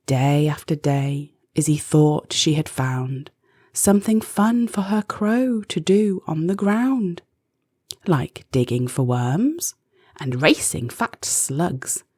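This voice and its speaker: Breathy, very animated woman storyteller. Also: deep voice, no room sound, clean studio sound. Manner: dramatic, deliberate phrasing. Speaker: children's audiobook narrator. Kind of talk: expressive rhyming storybook narration.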